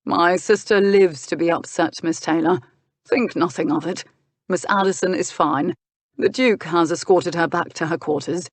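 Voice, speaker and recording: A woman narrator acts a patient but exasperated gentleman of a historical romance. The delivery is measured, reasonable and dry, recorded close with no room sound. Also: fairly low pitch, no room sound, lightly acted. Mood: dry, polite. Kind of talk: historical romance audiobook character.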